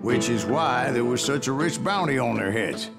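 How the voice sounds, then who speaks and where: A grizzled old American gunslinger narrates a Western game with heavy gravel in his voice. He spins boastful tales in a folksy storyteller's manner, with music clearly playing behind him. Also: mid-range voice, lively intonation, normal speed. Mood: boastful storytelling. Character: grizzled old male bounty hunter spinning tall tales.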